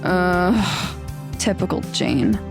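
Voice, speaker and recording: A woman's voice: fairly low pitch, slow pace, vocal fry, music in the background. A woman playing a brash, pushy and huffy teenage schoolgirl in an audio drama. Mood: skeptical.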